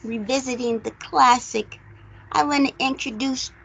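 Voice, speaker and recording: A woman's voice: sits high, steady tone, a little slow, creaky, fairly bright tone, husky, poor audio. A woman hosting a casual movie review chat, speaking emphatically and with strong opinions, often repeating phrases for stress. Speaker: host of a movie review show.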